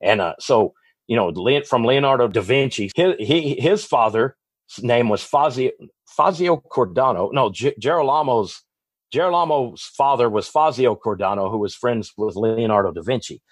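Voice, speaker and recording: A man's voice: mid-range voice, lively intonation, moderate pace, slightly rough, no echo. A man with a Texas accent, a guest author giving long, rambling answers in a podcast interview, often restarting and correcting himself. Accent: Texan.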